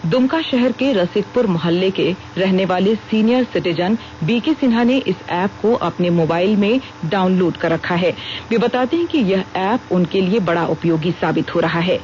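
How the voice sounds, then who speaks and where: A woman with an Indian accent reads formal, scripted radio news at a medium pitch. The broadcast sounds dull and lo-fi, with faint noise underneath. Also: moderate pace, a little mellow. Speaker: news reader.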